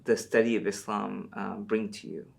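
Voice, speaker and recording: A man's voice: fairly low pitch, flat delivery, moderate pace, vocal fry, darkish tone. A man hosting an interview podcast who asks short questions and encourages his guest to keep talking. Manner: brief, encouraging prompts.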